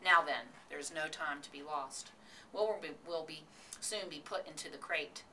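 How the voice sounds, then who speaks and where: A calm female spider from a children's story, read by a woman, soft, deliberate and decided. The pitch sits fairly low, on a slightly distant recording with clear noise. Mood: brisk, decisive. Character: calm, decisive, softly spoken female spider friend.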